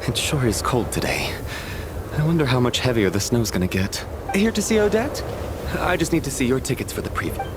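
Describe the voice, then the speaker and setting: A man voicing a friendly male ticket checker at a theater door in a game, brisk and polite. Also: mid-range voice, big pitch swings, background noise, close to an everyday voice. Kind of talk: game voice acting.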